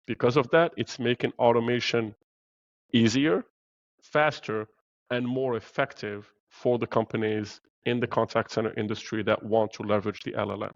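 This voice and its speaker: A man's voice: mid-range voice, fairly even intonation, moderate pace, no room sound, lo-fi sound. A man originally from Morocco, a podcast guest explaining things earnestly with frequent "uh" fillers. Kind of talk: podcast interview.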